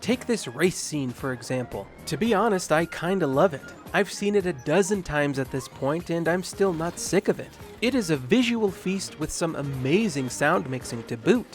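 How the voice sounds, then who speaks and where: A man's voice: fairly high pitch, lively intonation, moderate pace, few pauses, soft background music. A man hosting a video game channel, narrating a comedic scripted movie review with sarcastic, self-deprecating jokes.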